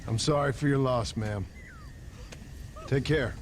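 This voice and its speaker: An actor playing a laconic, polite but menacing Western drifter in a video game, with a dry, understated delivery. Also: male voice, mid-range voice, a little slow, a little hiss or hum, lightly acted. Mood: politely sympathetic. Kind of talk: video game cutscene acting.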